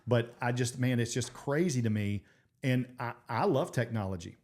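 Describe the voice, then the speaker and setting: A man co-hosting a casual podcast, a former teacher and coach, who asks questions and keeps chiming in with quick agreement. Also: medium pitch, animated, a little mellow. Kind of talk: casual chat.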